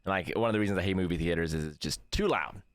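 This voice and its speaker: Deep-voiced man talking calmly. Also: racing, dry recording, studio quality. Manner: calm, matter-of-fact, many fillers. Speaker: podcast co-host. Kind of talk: casual chat.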